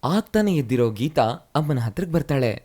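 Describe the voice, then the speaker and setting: A man reads a scripted children's story with a steady, even delivery. His voice is dark and mellow, at a middle pitch. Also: fairly quick. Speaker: storyteller narrator.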